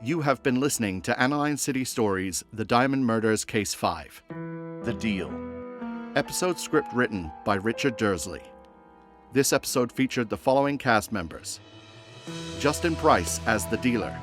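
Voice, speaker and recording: Male podcast announcer over clear music. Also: mid-range voice. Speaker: announcer reading podcast credits. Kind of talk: scripted credits announcement.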